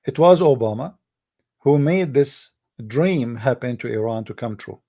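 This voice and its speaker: Heated Arabic-speaking man, soft and mellow. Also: mid-range voice, lively intonation. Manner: halting, searching for words, many fillers; heated, blunt opinions. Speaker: commentator. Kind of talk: casual monologue.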